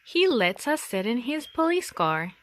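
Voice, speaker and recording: Slow, animated woman reading a children's story. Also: on the high side, no room sound. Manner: deliberate, expressive storytelling. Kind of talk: picture book read-aloud for young children.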